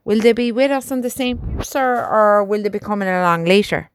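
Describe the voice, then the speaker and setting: A woman's voice: mid-range voice, brisk, a little hiss or hum, natural voice. A woman reading aloud, voicing an indignant male army sergeant who pleads for his horses. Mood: pleading. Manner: pleading, rising to outrage.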